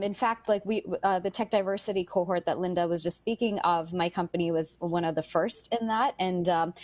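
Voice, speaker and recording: A woman's voice: mid-range voice, dry recording. A woman in her thirties, a startup founder, friendly and eager with many ums, speaking over a video call. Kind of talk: interview answers.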